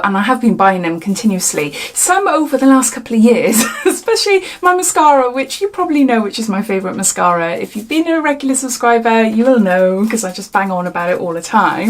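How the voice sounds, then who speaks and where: A British woman in her forties, chatting casually through a makeup tutorial in a rambling, friendly way with frequent laughs. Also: a little high. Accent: British English. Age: 40s. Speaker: beauty vlogger.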